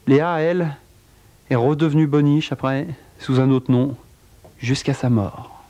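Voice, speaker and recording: A man telling a dark true-crime tale in a wry, theatrical way, with a cold. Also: fairly even intonation, measured pace. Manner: wry, theatrical storytelling with big pitch swings. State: has a cold. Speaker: narrator. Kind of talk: dramatic spoken narration.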